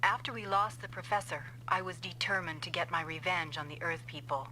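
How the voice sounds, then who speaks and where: An actress playing a vengeful female scientist in an anime-style game dub, explaining coldly and deliberately. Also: on the low side, fairly even intonation, noisy background, lightly acted. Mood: vengeful resolve. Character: vengeful female scientist confessing a revenge plot.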